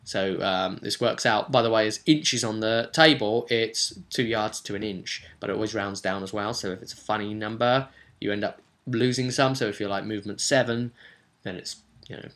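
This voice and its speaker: Man, game master, casual vlog recap, bright and punchy voice, slightly low pitch, chatty, emphatic, full of asides. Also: fairly even intonation, moderate pace. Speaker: game master recapping a tabletop role-playing session.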